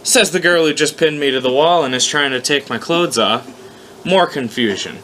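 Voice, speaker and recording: A man's voice: on the high side, normal speed, fairly bright tone, close to an everyday voice. A man reading aloud as a wry, flustered human man who narrates his own predicament with sarcastic exasperation. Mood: wary, awkward. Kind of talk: first-person story read aloud. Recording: noticeable background noise, amateur recording.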